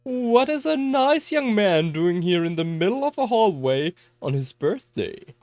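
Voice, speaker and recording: A performer voicing an eerie, playful attendant in a visual novel, coy and knowing, with teasing, drawn-out exclamations. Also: mid-range voice, very animated, a little mellow, dry recording, studio quality, voice pushed up.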